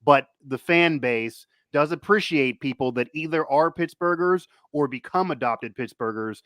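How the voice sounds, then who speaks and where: A man's voice: fairly high pitch, moderate pace, a bit bright, no echo. A man hosting a sports show, talking casually in a rambling way that circles back on itself. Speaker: sports podcast host. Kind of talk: casual sports talk and interview.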